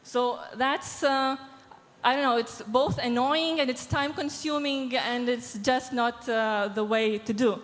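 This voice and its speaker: A woman, answering panel questions through a handheld mic, measured and pausing with many fillers. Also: fairly high pitch. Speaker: panelist from a diversity organization. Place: large event hall stage. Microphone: handheld microphone. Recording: reverberant hall sound.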